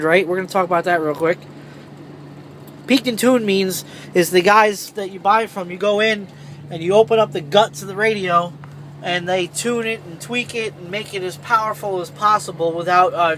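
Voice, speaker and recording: A man with a high-pitched voice talks through a casual hands-on tutorial. His delivery is informal and rambling, with some salty language. There is some vehicle noise around him, as if recorded in a truck cab. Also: fairly bright tone. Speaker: truck driver presenting a how-to video. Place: inside a parked semi truck cab. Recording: clearly noisy vehicle ambience.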